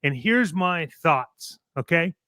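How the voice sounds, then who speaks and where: A man's voice: fairly high pitch, expressive, takes it slowly, sharp tone, no room sound, clean studio sound. A man co-hosting a show, giving casual opinions in a blunt, emphatic way with some swearing. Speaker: co-host of a toy collecting show.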